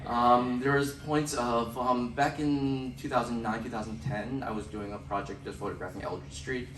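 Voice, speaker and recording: A man telling stories on a photography panel, joking and animated. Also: medium pitch, moderate pace. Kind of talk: panel discussion. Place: event room at a photography center. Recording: roomy room mic from far off, background noise.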